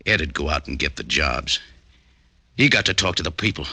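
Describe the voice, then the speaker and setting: An actor playing a bitter, resentful working-class factory partner in an old radio detective drama, blunt and aggrieved. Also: male voice, very deep voice, expressive, moderate pace, pushed and bright, no room sound, full character voice. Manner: aggrieved, blunt, ungrammatical working-man speech. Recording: husky-sounding old radio broadcast.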